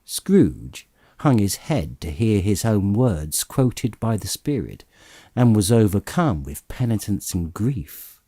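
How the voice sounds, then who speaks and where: A man reads a story in a low, mellow voice with a slightly husky grain. His narration is measured and expressive, like an audiobook. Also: no room sound, studio quality.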